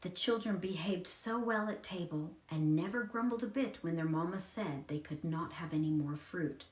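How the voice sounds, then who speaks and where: A woman narrates a storybook from a script. Her voice sits a little low, and the room adds a clear echo to her reading. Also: moderate pace.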